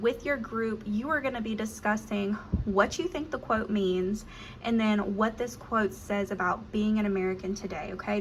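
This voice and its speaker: A woman's voice: mid-range voice, fairly bright tone, a little hiss or hum, rough recording. Woman teacher giving classroom instructions. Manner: upbeat and encouraging, with frequent "um"s. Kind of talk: classroom instruction, practice lesson.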